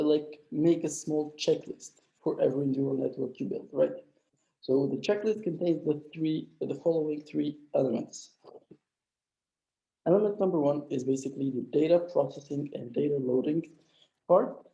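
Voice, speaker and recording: Gravelly lecturer with a mild flu. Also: male voice, a little high, moderate pace, mellow. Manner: explanatory, frequent "uh" fillers. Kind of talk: live online coding lecture. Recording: dull lo-fi echoey room.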